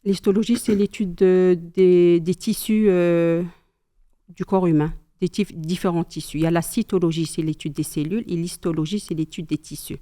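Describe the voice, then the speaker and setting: Mellow-voiced mother telling family memories. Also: fairly low pitch, normal speed. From Algeria. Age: middle-aged. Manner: calm, thoughtful storytelling with pious asides. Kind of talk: casual chat.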